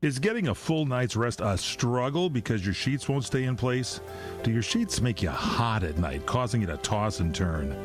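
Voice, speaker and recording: Animated male ad pitchman with music behind. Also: normal speed. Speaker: advertisement pitchman. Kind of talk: scripted radio ad read. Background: music bed.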